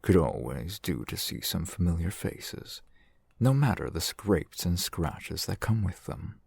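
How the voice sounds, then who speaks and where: A man playing a genial, teasing, flirtatious fantasy shopkeeper in an ASMR roleplay, unhurried and wry. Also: big pitch swings, darkish tone, dry recording, heavily acted. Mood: welcoming. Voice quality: low, gravelly, husky. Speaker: shopkeeper character in audio roleplay.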